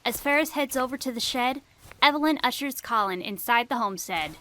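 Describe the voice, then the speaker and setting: A woman's voice: fairly high pitch, expressive, fairly quick, sharp tone. A woman reading scripted storybook narration for an audio drama.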